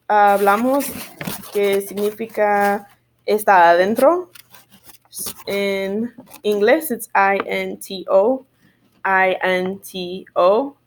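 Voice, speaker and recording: Slow, spelling woman switching Spanish and English. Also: mid-range voice, slight background noise. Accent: Spanish-speaking learner of English. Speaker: teacher of English sight words. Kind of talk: bilingual spelling lesson.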